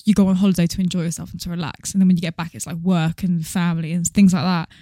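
A young British woman speaks at a brisk clip in a medium-pitched voice, recorded very dry. She chats casually as a podcast co-host, animated and full of slang. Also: no room sound.

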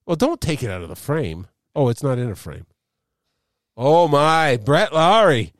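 A man's voice: on the high side, a little slow, dry recording, studio quality. A middle-aged man hosting a casual sports chat, rambling as he tells stories. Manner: animated, rambling storyteller. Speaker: podcast host.

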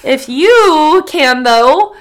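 A woman's voice: sits high, expressive, takes it slowly, low-quality recording. A young woman co-hosting a podcast, chatting casually and retelling folktales in a slangy, sweary way, repeating herself for emphasis. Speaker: podcast co-host.

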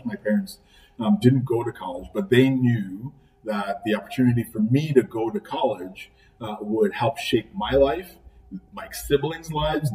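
Earnest middle-aged man, mellow voice. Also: medium pitch. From Trinidad and Tobago. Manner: earnest, deliberate, repeats key phrases. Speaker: college president, podcast guest. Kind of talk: measured interview answers. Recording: voice picked up from a distance.

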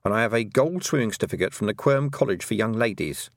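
A man voicing a shrewd, brisk witch woman who teaches, with a dry, knowing manner, in an audiobook. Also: on the low side, expressive, no echo. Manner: dry, knowing, clipped questions. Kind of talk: audiobook character dialogue.

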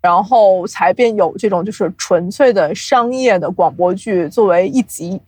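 A young adult woman chatting casually as an interview guest, explaining things from experience with animated storytelling and self-deprecating humour. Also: medium pitch, normal speed, husky, no room sound. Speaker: podcast guest, audio drama producer.

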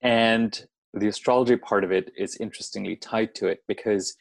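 Low, gravelly man. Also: fairly even intonation, dry recording. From India. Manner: measured, explanatory, wry. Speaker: astrologer guest. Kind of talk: casual podcast interview.

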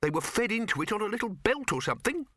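A man's voice: high voice, big pitch swings, quick pace, a bit bright, voice pushed up, exaggerated voice. A man voicing a nervous, fussy older male wizard bursar, quavering and tentative, in a comic fantasy audiobook. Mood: fussy, expectant.

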